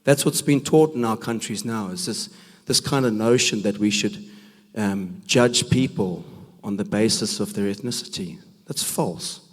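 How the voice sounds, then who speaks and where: A man with a rough, gravelly voice from a cold, giving a preaching talk at a men's conference, emphatic and full of rhetorical questions. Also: fairly low pitch, measured pace. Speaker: conference speaker. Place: church hall. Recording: long reverb of a large hall.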